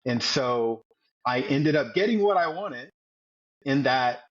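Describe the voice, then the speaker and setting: Husky mid-pitch man, 40s, earnest storytelling guest, dull lo-fi sound. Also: animated, slow. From United States. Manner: earnest, repeats words while telling a story. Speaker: podcast guest, former Navy SEAL. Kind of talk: storytelling interview.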